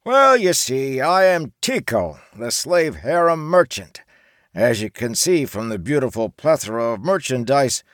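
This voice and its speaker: A pompous merchant in a fantasy audiobook, his voice pitched high and swooping. He speaks slowly and grandly, quick to indignation, savouring his own importance. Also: big pitch swings, fairly bright tone, no room sound, clean studio sound. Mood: grandiose. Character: pompous, fat, easily outraged male slave merchant. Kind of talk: audiobook character acting.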